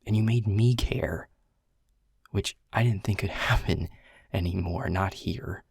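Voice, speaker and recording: A man's voice: sits low, measured pace, gravelly, a little mellow, slightly rough, no room sound, studio quality, heavily acted. A man playing a world-weary male middle manager who is blunt and swears, confessing in a half-whisper. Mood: frustrated. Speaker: boss. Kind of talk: audio roleplay acting.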